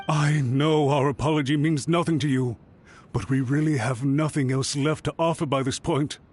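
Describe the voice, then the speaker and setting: A man's voice: on the high side, fairly even intonation, measured pace, long pauses, darkish tone, a little hiss or hum, close to an everyday voice. A man voicing an anxious, remorseful official in a video game, speaking formally and deferentially as he pleads. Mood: desperate, pleading.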